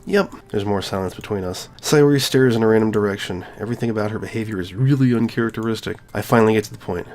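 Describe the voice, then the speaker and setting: A man's voice: fairly low pitch, rapid-fire, hardly any pauses, a little mellow. A man reading a visual novel aloud as a let's player, breaking off into wry, blunt asides. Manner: reads story text aloud, breaking off into wry blunt commentary. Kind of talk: narrated playthrough with casual asides.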